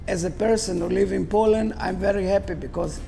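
Older male chef, high creaky voice, hesitant second-language English, restaurant table. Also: steady tone, warm tone, soft background music. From Israel. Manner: halting non-native English, broken grammar. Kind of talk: casual talk to camera. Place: restaurant dining room, indoors.

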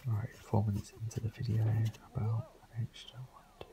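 Low gravelly young man. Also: little pitch movement, a little fast, a crowd in the background. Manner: rambling, chatty, frequent fillers. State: slightly croaky. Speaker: let's play host. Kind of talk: casual gameplay commentary.

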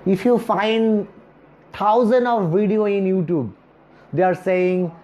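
A man in his thirties, a native Bengali speaker, speaking slowly and earnestly to camera as a speech coach, with long pauses between words. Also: high voice, dark tone. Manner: earnest and encouraging, gesturing with both hands. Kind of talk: self-help talk to camera. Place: small indoor room, seated on a chair. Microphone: lapel mic. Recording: slightly dull recording with faint hiss.

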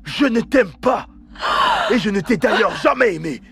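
An actor dubbing a boastful, scornful young man who shouts insults. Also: medium pitch, sing-song, moderate pace, vocal fry. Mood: contemptuous, shouting.

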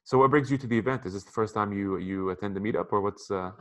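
A man in his thirties talks quickly over a video call from a room at home. His voice sits a little low. He speaks conversationally and repeats words as he goes. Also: fairly bright tone. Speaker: interview guest. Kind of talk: casual advice on a video call. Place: apartment room by a window. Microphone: headphones on a video call.

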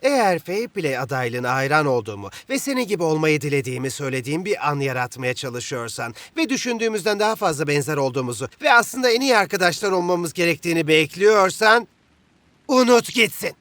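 A man playing a proud, competitive young male soccer star in a cartoon dub, with a gruff, defensive, sarcastic manner. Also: a little high, expressive, fast talker, fairly bright tone, no echo. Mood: irritated, defensive.

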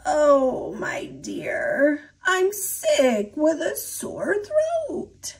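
Dragon faking a frail granny a woman acts it: hoarse, high, slow, slyly sweet. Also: wildly swooping pitch, heavily acted. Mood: feigning weakness. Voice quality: hoarse, breathy. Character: sly dragon posing as frail sick grandmother.

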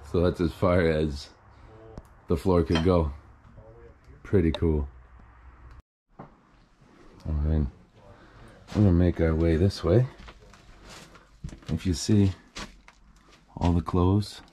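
Male vlogger, low-pitched and unhurried, casual walk-through narration on camera mic with some background noise. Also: warm tone. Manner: casual, talking to viewers while walking around. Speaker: host of an abandoned-places exploration channel. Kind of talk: casual exploring vlog narration. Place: on the move through a derelict property, RV, overgrown yard and house.

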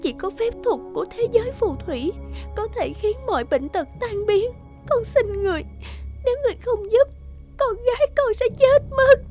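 A woman playing a gentle, devoted witch mother in a cartoon, emotional and pleading. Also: extremely high pitch, lively intonation, normal speed, soft background music. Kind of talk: cartoon dubbing.

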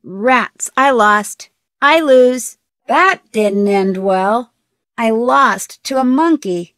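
A woman playing an upbeat, curious young female detective in a video game, youthful, perky and earnest, with clear diction. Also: on the high side, very slow, fairly bright tone, dry recording, studio quality. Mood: mildly disappointed. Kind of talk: scripted video game voice acting, thinking aloud.

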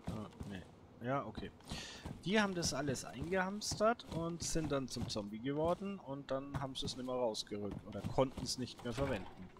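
Man, noisy gaming commentary. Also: medium pitch. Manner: talks to himself, muttering short remarks. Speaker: gaming video host. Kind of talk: casual solo let's play commentary. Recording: clearly noisy background with clicks.

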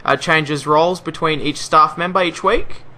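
A man's voice: on the high side, fairly even intonation, moderate pace, pushed and bright, slight background noise. A man making a prank phone call, rattling off breezy, mock-official patter. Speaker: prank caller.

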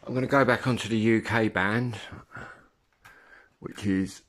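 Low-voiced middle-aged English man. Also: fairly even intonation, a little slow, a bit bright. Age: 50s. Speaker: CB radio hobbyist vlogger. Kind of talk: casual rambling vlog chat. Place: room at home. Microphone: phone at arm's length.

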